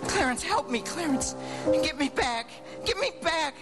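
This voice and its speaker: An excitable family man in a staged radio drama. His voice sits high and leaps around, breathless and full of stammered repetitions. Music plays behind him. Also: unhurried, heavily acted. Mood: desperate pleading. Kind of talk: live radio drama acting.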